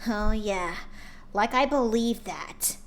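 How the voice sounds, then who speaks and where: A woman's voice: mid-range voice, slow, slight background noise. A woman doing a spiteful pony nurse. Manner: spiteful and growling. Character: hostile bitter female pegasus nurse.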